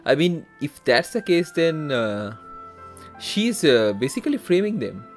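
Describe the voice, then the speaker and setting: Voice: medium pitch, sing-song, unhurried. A game streamer giving casual live commentary, hesitant and muttering reactions to themselves. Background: game music.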